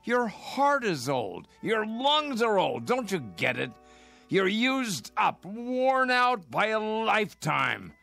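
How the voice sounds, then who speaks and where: An actor playing a weary, stubborn old man in a radio drama, creaky-voiced, scolding and insistent. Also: high voice, very animated, takes it slowly, sharp tone, full character voice. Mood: stern, exasperated. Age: older. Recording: radio drama with music underneath.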